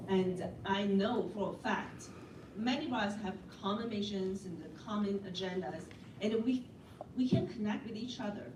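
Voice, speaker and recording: A woman on an advisory board addressing a community meeting in an earnest, rallying way. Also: mid-range voice. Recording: echoey room, distant mic, background noise.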